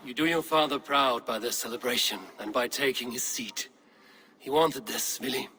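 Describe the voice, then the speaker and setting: Actor playing a steady young Viking warrior, firm and reassuring, with a bright, clear voice. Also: male voice, medium pitch, normal speed, natural voice.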